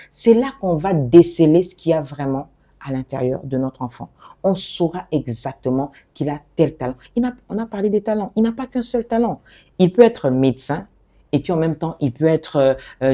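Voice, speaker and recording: A middle-aged woman from the DR Congo, an interview guest who talks about her work in an animated, expressive way and often restarts her phrases. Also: low voice, moderate pace. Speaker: guest, fashion designer and author. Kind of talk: conversational interview.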